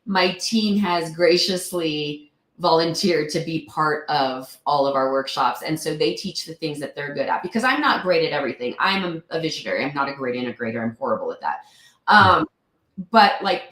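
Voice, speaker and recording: A woman's voice: sits low, expressive, fairly quick, a bit bright, reverberant. A woman investor in a casual interview, sounding throaty after a cold, talking earnestly with many fillers. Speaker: real estate investor guest.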